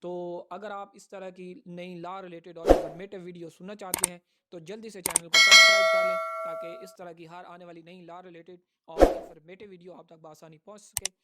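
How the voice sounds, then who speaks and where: A man's voice: high-pitched, level tone, brisk, few pauses, heavy background noise. A man gives a prepared educational law lecture in a formal, methodical way, setting out points one by one. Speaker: advocate presenting law lessons.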